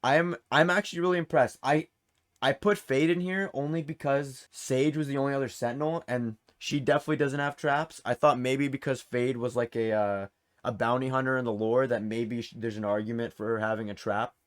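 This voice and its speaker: A man's voice: medium pitch, steady tone, a little fast. A man hosting a quiz in a casual, informal and encouraging way. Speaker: quiz host. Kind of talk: casual game-show hosting.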